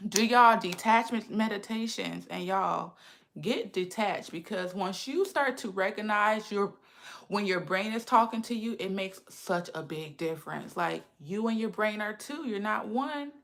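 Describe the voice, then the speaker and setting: A woman's voice: mid-range voice, expressive, a little slow, gravelly, a bit bright. A woman in her thirties with a lisp, chatting casually with viewers on a livestream. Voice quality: says she has a lisp. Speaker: livestream host.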